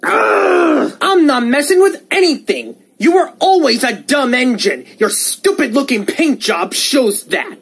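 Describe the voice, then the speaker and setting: A woman's voice: high-pitched, very animated, measured pace, hardly any pauses, full character voice. A woman voicing a spiteful, hot-tempered villain steam engine in a cartoon, sneering and threatening. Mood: hostile.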